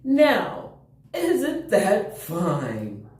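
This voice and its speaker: A woman's voice: mid-range voice, wildly swooping pitch, drawn-out words, darkish tone, full character voice. A woman voicing a kindly, encouraging male school bus driver in a children's storybook. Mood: friendly, encouraging. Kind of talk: children's storybook read-aloud. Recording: roomy echo.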